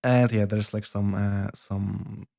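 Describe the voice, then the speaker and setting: A young man with a Polish accent giving casual career advice, halting and full of fillers and restarts. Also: fairly low pitch, little pitch movement, gravelly, dark tone. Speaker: software developer vlogger.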